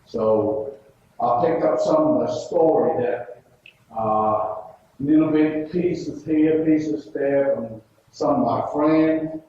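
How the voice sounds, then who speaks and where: A man around sixty with a Hong Kong accent speaks informally to a workshop in a fairly high voice. His delivery is folksy and halting, like a practiced storyteller who pokes fun at himself. The sound is very echoey and picked up from far off. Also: dark tone. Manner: folksy, self-deprecating joking, halting with frequent pauses. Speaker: workshop presenter, labor mediator. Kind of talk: informal conference talk with storytelling. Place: indoor conference room. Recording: echoey room, distant, muffled, faint noise.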